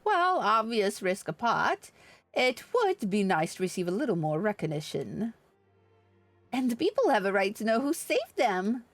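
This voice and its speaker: A woman's voice: mid-range voice, no room sound. A woman reading aloud the lines of an earnest, formal leader of a fantasy order in a video game. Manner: formal, solemn, oratorical.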